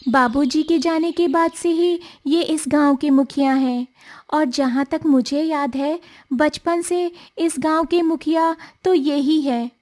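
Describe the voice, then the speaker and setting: Casting: woman to play meek, courteous young village girl for animated folk tale; very high, earnest voice. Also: takes it slowly. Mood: polite, earnest. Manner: polite, deferential, earnest. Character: gentle, apologetic young village girl shunned as unlucky. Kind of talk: Hindi animated moral-story acting.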